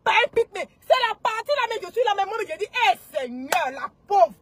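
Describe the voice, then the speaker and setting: A woman's voice: very high-pitched, big pitch swings, moderate pace, slight background noise. A woman with an Ivorian accent delivering an indignant, emphatic and mocking political rant as an online commentator, often repeating herself for effect.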